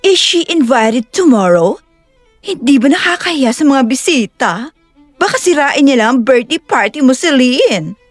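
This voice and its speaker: Woman doing a catty, high-pitched rich socialite. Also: sing-song, a little slow, dry recording, faint music behind, heavily acted. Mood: disdainful. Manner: catty, affected, exclamatory. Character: snobbish, mocking young rich socialite woman.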